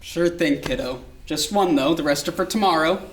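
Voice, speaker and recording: A woman's voice: deep voice, normal speed, slight background noise, natural voice. A woman playing an overworked, short-tempered father, blunt and commanding, in a staged play reading. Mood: fond. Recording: echoey room.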